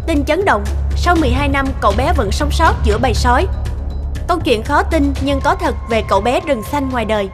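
A woman's voice: high-pitched, expressive, a little fast. A woman reading scripted narration and announcements for a cartoon, declarative and dramatic. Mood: announcing. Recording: music behind the voice.

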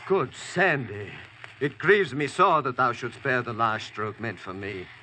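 A man's voice: medium pitch, lively intonation, measured pace, bright, forceful tone. An actor playing a noble, earnest medieval king with stately archaic speech in an old radio drama. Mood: solemn, noble. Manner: stately, solemn. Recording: noisy vintage radio drama recording.

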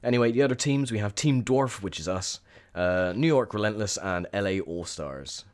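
Deep-voiced man, croaky throat. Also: lively intonation, normal speed, a little mellow. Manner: chatty, rambling with many ums. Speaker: gaming video host. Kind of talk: casual gameplay commentary.

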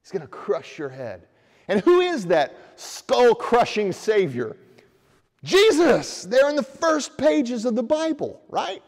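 A middle-aged man preaching a sermon from a church pulpit, reading scripture and rising in pitch with energy as he presses his points. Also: slow. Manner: animated preaching with raised hands, voice climbing when stressing a point. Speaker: pastor. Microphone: far camera. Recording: long church reverb.

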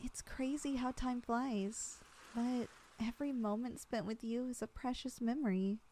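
A young woman performing an ASMR roleplay as a loving girlfriend, talking softly with an affectionate, teasing manner. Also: on the high side, fairly even intonation, unhurried, a little hiss or hum.

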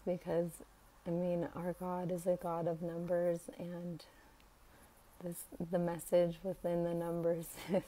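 An American woman in her thirties with a raspy voice, speaking haltingly and casually in a devotional vlog. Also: fairly low pitch, dark tone, poor audio. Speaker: religious content creator. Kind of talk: casual devotional vlog about scripture numbers.

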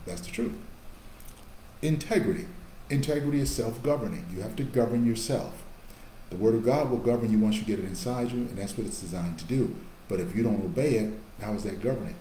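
A man in his fifties preaching a sermon through a webcam in a small office. His voice is low and warm, and the room adds a noticeable echo with a faint hum behind it. He builds to emphatic bursts and then drops back to quiet asides. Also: a little fast. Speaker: pastor. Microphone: webcam on a video call.

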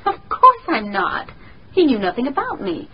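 A woman's voice: sits high, wildly swooping pitch, normal speed. An actress playing a cool, educated young American woman in a radio drama, formal and guarded. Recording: dull radio recording, faint noise.

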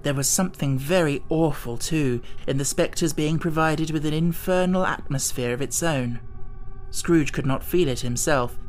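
A man narrating a literary audiobook in a measured, dramatic storytelling manner. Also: on the high side. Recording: echoing reverb, music behind.

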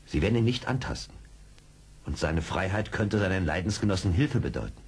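An actor playing a seasoned, brave frontier scout, commanding and deliberate, in a radio play. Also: male voice, sits low, animated, breathy edge, exaggerated voice. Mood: respectful, earnest. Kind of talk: 1970s German radio play acting.

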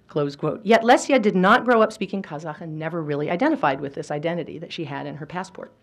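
A middle-aged woman gives a history lecture in a lecture hall. Her voice sits a little low. She speaks thoughtfully and often restarts her phrases with small fillers. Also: fast talker. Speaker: history professor giving a lecture. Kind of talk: academic lecture with quotations.

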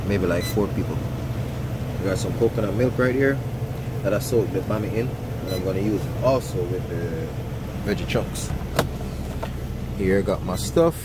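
A man's voice: sits low, animated, moderate pace, pauses often. Upbeat trucker vlogging from his cab. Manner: upbeat, chatty, laughs often, sing-song greetings. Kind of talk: casual vlog tour and cooking show. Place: inside a truck sleeper cab. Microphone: handheld phone camera. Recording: noisy truck cab with engine and AC hum.

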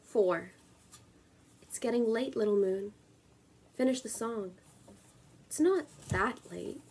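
A young woman you might find in her plant-filled bedroom, reading poems slowly to a camera in a soft-edged, mellow voice. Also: a little high, slightly rough. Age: 20s. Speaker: poetry reader and video creator. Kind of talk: spoken word poetry reading with casual introduction. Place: small room at home, indoors.

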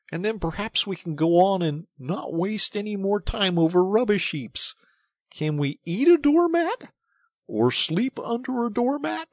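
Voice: mid-range voice, no echo, voice pushed up. A narrator voicing a grumpy, injured mole from a storybook, plaintive, complaining and stubbornly literal, with emphatic delivery. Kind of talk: animated storybook character reading.

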